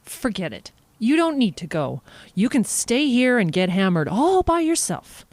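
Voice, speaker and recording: A woman's voice: fairly high pitch, big pitch swings, a little slow, darkish tone, no room sound. A woman playing a reproachful, scornful middle-aged churchgoing wife and mother in a naturalistic audio drama. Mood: cold, scornful. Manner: accusing, scornful.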